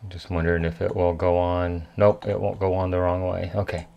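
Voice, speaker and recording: A man talking casually through a hands-on repair as he works, halting and muttering to himself. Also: sits low, monotone, creaky, dark tone, muffled recording. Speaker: electronics repairman presenting his repair.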